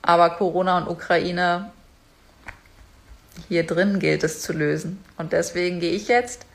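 A woman's voice: fairly low pitch, fairly bright tone, rough recording. A woman giving a casual motivational talk straight to her viewers, chatty and direct. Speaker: life coach vlogger.

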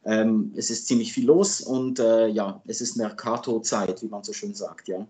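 A man in his fifties from Switzerland, a native French speaker, answering as a guest expert in a halting, conversational way. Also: fairly low pitch, fairly even intonation, darkish tone. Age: 50s. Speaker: sports journalist and guest expert.

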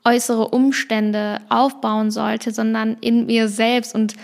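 A woman's voice: a little high, little pitch movement, takes it slowly, vocal fry. A woman in her late twenties talking reflectively in a casual personal podcast monologue, rambling a little with small restarts. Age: 20s. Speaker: podcast host.

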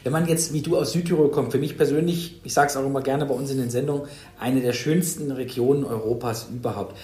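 A man's voice: mid-range voice, steady tone, fast, mellow, roomy sound. A man hosting an interview, asking chatty questions and rephrasing them when needed. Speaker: interview host.

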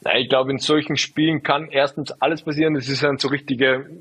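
A man in his twenties, a footballer, answering interview questions in a relaxed, halting way full of fillers. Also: medium pitch, slightly rough, dry recording, poor audio. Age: 20s. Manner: hesitant, lots of fillers, relaxed. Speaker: footballer guest.

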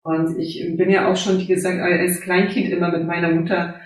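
A woman's voice: fairly low pitch, little pitch movement, normal speed, recorded from a distance. A middle-aged woman from Silesia with a Polish accent, speaking earnestly as a guest about her life in Germany, with frequent fillers and little affirmations. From Poland, Silesia. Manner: earnest, many fillers and "ja" tags. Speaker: guest, job coach. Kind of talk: conversational interview. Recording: echoey room, off-mic.

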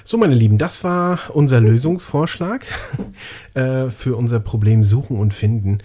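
A man's voice: mid-range voice, unhurried, mellow. A man co-hosting a casual podcast in a joking, self-deprecating way, laughing often. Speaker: podcast co-host. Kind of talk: casual chat.

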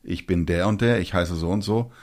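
Low-voiced dryly joking podcast host man. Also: fairly quick, darkish tone. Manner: joking, ironic asides; explains like a teacher. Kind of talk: casual chat.

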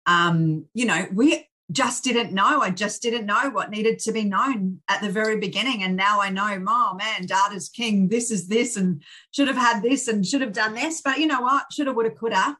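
An Australian woman speaks with bright, forceful energy and little polish. She is blunt and plain-spoken as she tells her story in an interview. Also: mid-range voice. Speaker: charity founder, guest. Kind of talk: candid interview chat.

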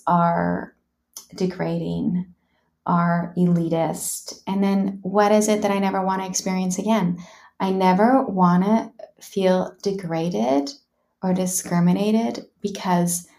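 A woman's voice: lowish voice, creaky, darkish tone. A woman from Canada, a life coach, talking candidly and thoughtfully about her own healing, with frequent "like" and "you know.". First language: English. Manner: frank, thoughtful, many "like" and "you know" fillers. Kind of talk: reflective personal storytelling.

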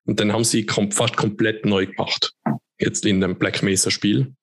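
A man, co-hosting a podcast in relaxed, rambling casual chat. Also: fairly low pitch, moderate pace, no room sound. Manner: relaxed, chatty, rambling with fillers. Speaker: podcast co-host.

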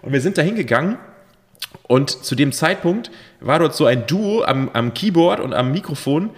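A man in his thirties co-hosting a podcast, telling a rambling story full of fillers, his voice a bit hoarse after a night of drinking. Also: on the high side, animated, normal speed, big-room sound. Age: 30s. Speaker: podcast co-host. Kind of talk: casual chat.

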